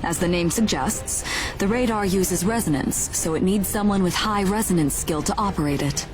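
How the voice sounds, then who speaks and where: Actress as a low-pitched, composed caravan boss woman. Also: natural voice, poor audio. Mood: grave. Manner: measured, businesslike, authoritative. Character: composed, shrewd adult woman, caravan owner and leader. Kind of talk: video game cutscene acting. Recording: game music behind the voice.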